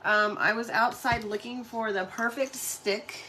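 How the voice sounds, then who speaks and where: A woman's voice: on the high side, fairly even intonation, measured pace, sharp tone, slight background noise, poor audio. Congested, chatty middle-aged woman. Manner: chatty and friendly, greets viewers by name. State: congested, nasal from sinus trouble. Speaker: craft livestream host. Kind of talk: casual live chat greeting viewers.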